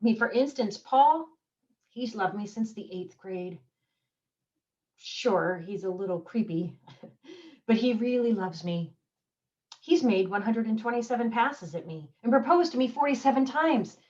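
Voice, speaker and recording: A woman acting out a stage monologue as a desperate wife clinging to her husband, with deadpan wit and nervous laughs. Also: a little high, dull audio. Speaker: community theater actress.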